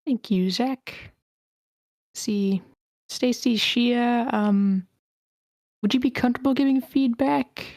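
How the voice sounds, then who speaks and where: A woman's voice: fairly high pitch, slow, pauses often, a little mellow, dry recording, studio quality. A woman politely moderating a group online feedback session as its host. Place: online meeting.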